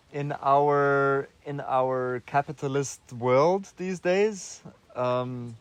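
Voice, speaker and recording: A young man from Austria answering interview questions in a relaxed, thoughtful way, often restarting his sentences and filling pauses with "um". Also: medium pitch, clean tone. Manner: thoughtful, many restarts and fillers. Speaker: interview guest.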